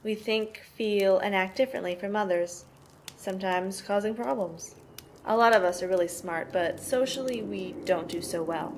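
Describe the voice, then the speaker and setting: A woman acting as a protective big sister with a medium-pitched voice. She is tough and matter-of-fact, explaining things like an interviewee in an amateur audio play. There is some noise on the recording. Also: moderate pace, natural voice. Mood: candid. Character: protective, tough sister mothering five troubled orphaned brothers. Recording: noisy amateur home recording.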